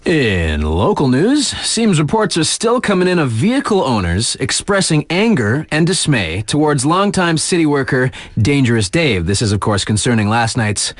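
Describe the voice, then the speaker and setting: Lively, laid-back wry radio DJ. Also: male voice, fairly high pitch, moderate pace, hardly any pauses, dry recording. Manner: casual, wry, deadpan asides, slangy. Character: laid-back wry young male mountain-town radio DJ. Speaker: radio DJ reading local news. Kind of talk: mock local radio news broadcast.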